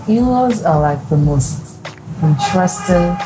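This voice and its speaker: Low-voiced chatty woman. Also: expressive, measured pace, poor audio. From Nigeria. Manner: chatty, light teasing. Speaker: guest, wife. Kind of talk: casual podcast chat.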